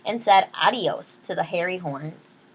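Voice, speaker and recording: A woman reading a children's picture book aloud expressively, pausing to explain things to young listeners. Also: on the low side, a little hiss or hum, rough recording. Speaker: read-aloud storyteller.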